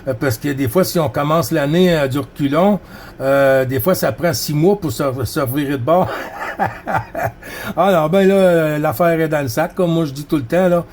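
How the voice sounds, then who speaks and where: A man's voice: a little high, moderate pace, darkish tone. A man with a Quebec French accent chatting casually as a CB radio operator, friendly and rambling. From Quebec, Canada. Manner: friendly, rambling, frequent Quebec filler words.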